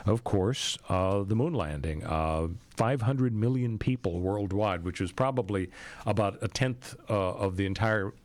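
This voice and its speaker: A man's voice: on the low side, unhurried, a little mellow, no room sound. An American man hosting a talk show, commenting on the news in a conversational, opinionated and emphatic way.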